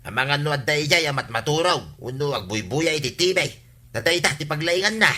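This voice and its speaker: A man's voice: on the high side, expressive, brisk, bright, forceful tone. A man playing a cynical, grumbling Filipino godfather at a village hall in a radio comedy drama.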